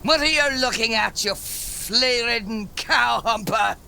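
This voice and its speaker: A woman's voice: fairly high pitch, unhurried, creaky, a little hiss or hum, exaggerated voice. An actress playing a foul-mouthed, bitter village fisherwoman who scolds and insults in a dramatic video game scene. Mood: angry, scolding. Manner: crude, insulting, sharp-tongued scold. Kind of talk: dramatic game cutscene acting.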